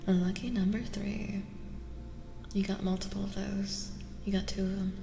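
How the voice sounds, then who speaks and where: A woman doing a soft-spoken ASMR tarot reading as a streamer, breathy and often near a whisper, soothing and affirming, gently repeating her phrases. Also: medium pitch, little pitch movement, normal speed, background noise. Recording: echoey reverb with music behind. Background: music.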